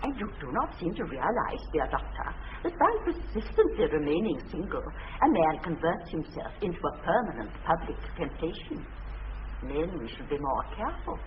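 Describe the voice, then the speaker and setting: An actress playing a prim, pedantic middle-aged English governess, coyly amorous and lecturing, in a period radio comedy. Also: female voice, low voice, very animated, moderate pace. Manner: lecturing, emphatic, fussy. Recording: dull old radio sound, faint noise.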